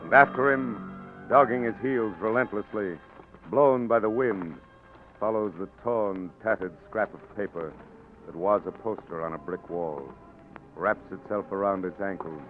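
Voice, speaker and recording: A male narrator tells a 1940s radio drama with grave, deliberate phrasing. His voice is fairly low. Music plays behind him, and the sound is thin and tinny like an old broadcast. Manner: solemn, portentous, deliberate phrasing.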